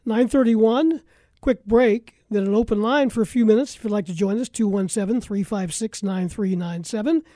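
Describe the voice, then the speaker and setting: A man's voice: sits high, moderate pace, warm tone, dry recording, clean studio sound. A man hosting a live sports talk radio show, interviewing guests and leading into breaks.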